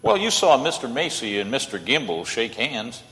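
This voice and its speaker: Man as idealistic attorney, earnest, medium pitch, old radio play style, long hall reverb. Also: very animated, moderate pace, a bit bright. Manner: earnest, persuasive. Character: idealistic, earnest male lawyer with stubborn faith.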